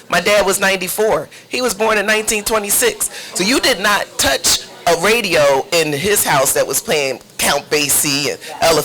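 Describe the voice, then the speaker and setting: A woman's voice: low-pitched, a bit bright, rough recording. A woman guest, a playwright and producer, talking casually and from the heart in a panel interview, stressing points by repeating them.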